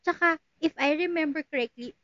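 High-voiced Filipino woman, casual book chat. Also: brisk, a bit bright, no echo, lo-fi sound. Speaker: podcast co-host. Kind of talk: casual book chat, switching between English and Tagalog.

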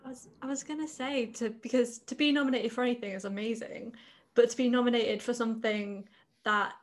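Woman host asking interview questions. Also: on the high side. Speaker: podcast host.

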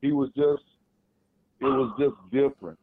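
A man's voice: mid-range voice, measured pace, gravelly. A man with a medium voice tells stories with animation. His voice comes through a thin, phone-like line with no echo. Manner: animated storytelling. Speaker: podcast co-host. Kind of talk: casual chat. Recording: tinny phone-like line, dry.

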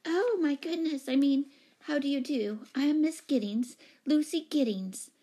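A woman voicing a timid, flustered small elderly lady, squeaky, fluttery and apologetic, in a storybook reading. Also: unhurried, dark tone, a little hiss or hum.

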